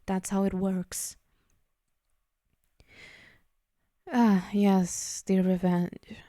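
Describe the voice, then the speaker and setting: Woman acting as a female demon, possessive, teasing menace, mellow hushed tone, unhurried delivery, close dry sound, ASMR roleplay. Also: mid-range voice, little pitch movement, no room sound, lightly acted. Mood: patient, indulgent. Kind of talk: ASMR roleplay, addressing the listener.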